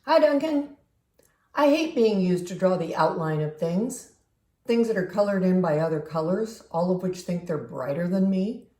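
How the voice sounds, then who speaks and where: A woman's voice: on the low side, expressive, moderate pace, warm tone, lightly acted. A woman voicing an aggrieved storybook crayon who protests emphatically and indignantly about unfair treatment. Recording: roomy, echoing home recording.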